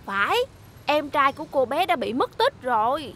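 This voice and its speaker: A woman's voice: sits high, sing-song, normal speed, background noise. A woman voicing an earnest, fretful, kind-hearted young male school bus in a children's cartoon. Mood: worried. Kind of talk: dubbed children's cartoon acting.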